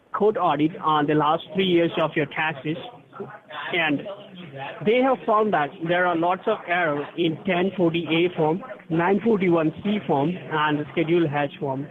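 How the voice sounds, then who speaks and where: Male phone caller, slightly high-pitched, formal and officious, reciting a scripted legal-sounding spiel over a thin telephone connection. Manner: formal, officious, step-by-step instructions. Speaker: phone scam caller posing as a tax officer. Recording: tinny phone line with crowd chatter.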